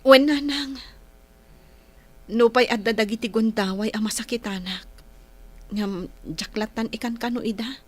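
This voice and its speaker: High-voiced, plaintive schoolgirl. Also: measured pace, long pauses. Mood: earnest, hurt. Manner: plaintive, earnest. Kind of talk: radio drama acting.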